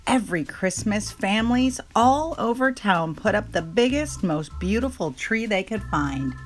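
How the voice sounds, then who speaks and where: Gentle woman reading a children's book aloud. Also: mid-range voice, expressive, measured pace, soft background music. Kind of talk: read-aloud storytelling.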